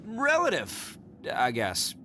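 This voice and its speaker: A man's voice: fairly high pitch, slow, slight background noise, exaggerated voice. A man playing a proud, good-hearted young oni gang boss in a video game, with a swaggering manner. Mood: awkward, earnest. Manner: swaggering, animated. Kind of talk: English video game voice acting.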